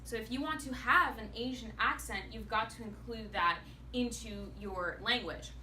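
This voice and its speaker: Woman giving a club speech, super bright and expressive, echoey room, mic kinda far. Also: a little high, moderate pace, a little hiss or hum. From United States. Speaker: public speaker, actor and singer.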